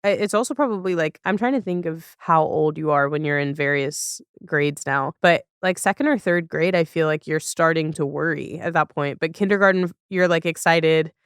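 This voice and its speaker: Clear-voiced woman hosting a casual chat. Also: sits low, moderate pace, hardly any pauses, no room sound, clean studio sound. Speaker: podcast host. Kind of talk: casual conversational interview.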